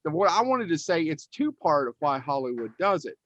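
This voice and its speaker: A man's voice: fairly high pitch, very animated, fairly quick, fairly bright tone, no room sound, highs cut off. A man co-hosting a casual podcast panel, talking in an emphatic, rambling, informal way. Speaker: podcast co-host.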